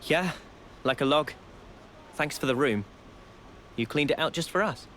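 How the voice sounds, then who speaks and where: A man's voice: a little high, a little slow, a bit bright, a little hiss or hum. A man voicing a friendly, polite young soldier in a video game. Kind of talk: video game cutscene dialogue.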